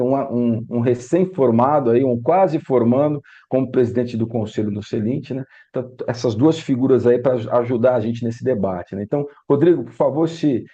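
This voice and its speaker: A male moderator with a mellow, dark tone, heard over dull, lo-fi video-call audio. He leads the panel in a relaxed, hesitant way, tagging his sentences with fillers. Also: medium pitch, slightly rough. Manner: relaxed, hesitant, many "né" tags.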